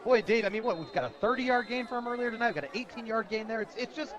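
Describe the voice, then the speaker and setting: A high-voiced American man works as a radio play-by-play announcer for a football game. He talks conversationally in short, punchy phrases. The broadcast sound is dull and lo-fi. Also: fast talker, a bit bright, a faint crowd.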